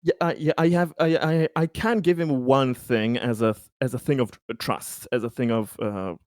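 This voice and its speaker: A man playing a tabletop roleplaying game, talking in a hesitant, halting way with many repeated words as he explains and negotiates carefully. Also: mid-range voice, steady tone, darkish tone, dry recording, studio quality. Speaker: role-playing game player. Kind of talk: improvised in-character talk and table discussion.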